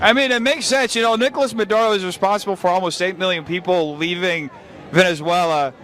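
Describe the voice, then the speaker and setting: A man's voice: high voice, fairly even intonation, brisk, a bit bright, soft background music. A man conducting a casual interview on the street at a protest.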